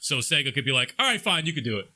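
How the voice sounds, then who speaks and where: A man's voice: medium pitch, big pitch swings, very fast, pushed and bright. A man in his late thirties chatting casually on a gaming podcast, slangy and profane, full of banter. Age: late 30s. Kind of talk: casual chat.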